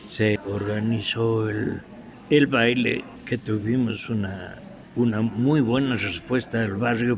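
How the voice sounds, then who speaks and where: An older man with an Ecuadorian highland accent reminisces in an interview, halting and anecdotal. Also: vocal fry. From Quito, Ecuador. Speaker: veteran radio theatre actor being interviewed. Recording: noisy room recording.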